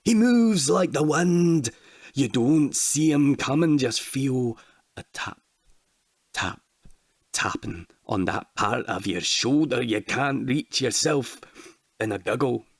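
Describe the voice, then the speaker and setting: A man's voice: mid-range voice, expressive, breathy edge, dry recording, studio quality, voice pushed up, heavily acted. A man voicing a frightened old man who tells a dire local legend in an ominous, dramatic storytelling way. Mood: grave, dramatic. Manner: dramatic, ominous, dwelling slowly on words.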